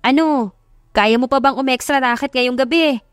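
A woman voicing a friendly, brisk older businesswoman in an audio drama, chatty and practical. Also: high-pitched, clear, resonant tone, dry recording, clean studio sound, pitched above the performer's own voice, lightly acted. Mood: friendly, businesslike. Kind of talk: Tagalog audio drama acting.

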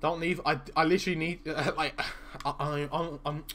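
A man's voice: a little high, little pitch movement, moderate pace, sharp tone. A young man with an Irish accent, chatting casually to his live-stream viewers while playing, reading out their messages and stuttering at times. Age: young adult. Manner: chatty, reads chat aloud, stutters at times. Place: small room indoors.

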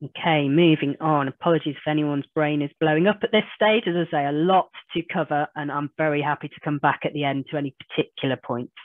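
Hoarse woman, tinny call audio. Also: low-pitched, fast talker, few pauses, no room sound. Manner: chatty, self-deprecating explainer walking through screen demos. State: hoarse with a cold. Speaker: business trainer. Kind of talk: online training webinar. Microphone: video call webcam.

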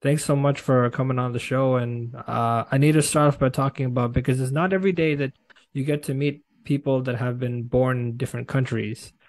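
A man's voice: mid-range voice, fairly even intonation, brisk. Young man hosting a casual video podcast. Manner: eager, stumbling restarts and fillers. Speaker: podcast host. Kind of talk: casual interview over video call. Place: home room.

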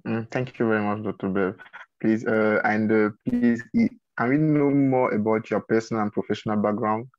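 A man, asking formal, polite questions as an interviewer in a courteous, slightly halting way, on a lo-fi recording. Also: lowish voice, fairly even intonation, a little mellow. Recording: dull lo-fi sound, dry.

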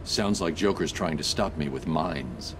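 An actor playing a grim, stoic vigilante hero in a video game, terse and deadpan. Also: male voice, rumbling low, a little slow. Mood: stern, reassuring. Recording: clear background ambient noise.

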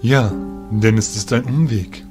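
A man's voice: lowish voice, music in the background, close to an everyday voice. A man acting a proud, courteous fairy soldier guide with a formal manner in a fantasy audio drama. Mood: proud, assured. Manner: measured, formal.

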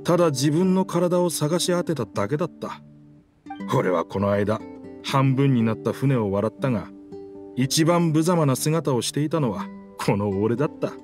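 An actor voicing a proud, dramatic talking pirate ship in an anime video game, in pompous, rough masculine speech. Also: male voice, medium pitch, expressive, normal speed, close to an everyday voice. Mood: wistful, subdued. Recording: music behind the voice.

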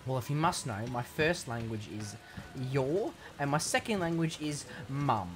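A young man commentating on a video game in a sarcastic, sweary way, with sudden excited outbursts. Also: a little high, moderate pace. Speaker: gaming commentator. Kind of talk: let's play commentary.

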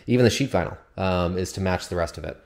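A man's voice: sits low, fast. A man giving a casual, unscripted, explanatory house tour as a home builder's representative. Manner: informal, explanatory. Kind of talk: casual unscripted walkthrough narration. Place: empty new house, indoors. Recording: echoey empty house interior, long reverb.

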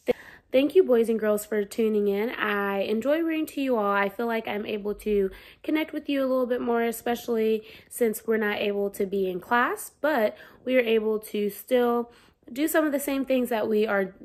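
A woman's voice: on the high side, normal speed, a little mellow. A young American woman, a schoolteacher, reading aloud and explaining things simply to young children at home. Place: living room at home, indoors.

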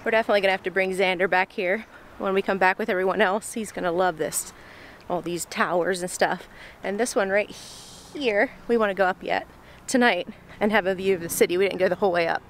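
A woman's voice: mid-range voice, brisk, a little hiss or hum. Chatty woman with a Pennsylvania Dutch accent. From Pennsylvania, USA. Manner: chatty, laughs often. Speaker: vlogger. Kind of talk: casual travel vlog. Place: car and outdoors in a lakeside town. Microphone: handheld camera at arm's length. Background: background music.

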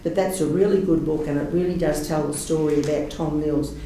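A man's voice: fairly high pitch, fairly even intonation, fairly quick, dark tone, a little hiss or hum. A man giving a rambling, earnest councillor's report at a council meeting. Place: large council meeting room. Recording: echoey meeting room from far off.

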